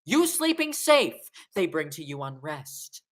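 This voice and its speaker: A woman's voice: sits low, sing-song, measured pace, exaggerated voice. A young woman playing a murderous, guilt-haunted king in a Shakespearean radio play, with theatrical, declamatory delivery. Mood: defiant, rousing. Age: young adult. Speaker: acting student.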